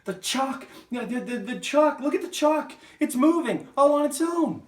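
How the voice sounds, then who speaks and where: Shrill, stammering little schoolboy. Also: male voice, animated, normal speed, reverberant, distant mic. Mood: hysterical, excited. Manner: stammering, shrieking, breathless urgency. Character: frightened, flustered little schoolboy, shrill and excitable. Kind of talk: children's storybook reading.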